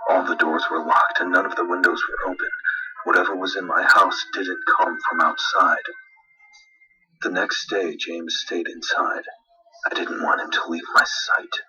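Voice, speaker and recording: A man's voice: low-pitched, pushed and bright, muffled recording. A man reading a scripted first-person horror story as narrator, with dramatic, suspenseful delivery.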